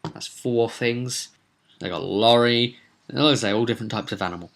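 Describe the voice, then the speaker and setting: Casting: male game master for an informal vlog, forceful bright tone, digressive and halting delivery. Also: medium pitch. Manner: rambling, digressive, halting with self-corrections. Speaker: game master recapping his roleplaying sessions.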